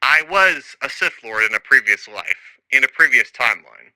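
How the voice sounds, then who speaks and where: A man's voice: medium pitch, lively intonation, moderate pace, sharp tone, no room sound, low-quality recording. A man recording an improvised audio log as a storyteller, rambling and hesitant, often trailing off and losing his thread. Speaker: storyteller and narrator.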